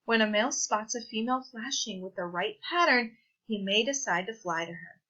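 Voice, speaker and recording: A woman with a very bright, forward voice reads a children's science book aloud. She sits a little high in pitch and phrases things deliberately, like a teacher. The recording is somewhat dull and lo-fi. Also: lively intonation. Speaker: read-aloud presenter.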